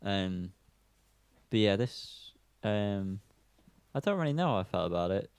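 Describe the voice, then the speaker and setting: Male podcast co-host, lower-pitched, informal and slightly sweary, very dry recording. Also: expressive, unhurried, clean tone, no room sound. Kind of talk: casual music review chat.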